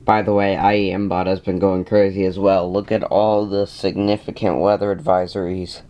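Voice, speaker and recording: A man's voice: sits low, little pitch movement, normal speed, mellow. A man giving casual commentary in a wry, mildly exasperated way, as a hobbyist narrating a video.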